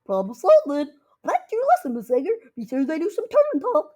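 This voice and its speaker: A woman's voice: high voice, huge pitch swings, fairly quick, mellow. A young girl of about twelve playing an imperious, melodramatic school principal in a comedic radio drama, with a grandiose delivery. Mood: brisk. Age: child, about 12 to 13.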